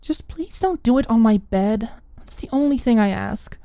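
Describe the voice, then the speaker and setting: A woman's voice: a little high, animated, moderate pace, warm tone, dry recording, pitched above the performer's own voice. A woman voicing a proud, prim young woman in an audiobook, defensive yet smitten. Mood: proud, polite. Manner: scoffing, earnest, giggly on the phone. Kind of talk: audiobook character dialogue.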